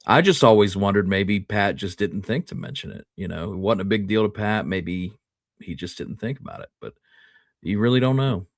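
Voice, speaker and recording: A man co-hosting a casual history discussion, speaking in a reflective, measured way and qualifying his opinions. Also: on the low side, expressive, dry recording, studio quality. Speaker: co-host of a history talk show.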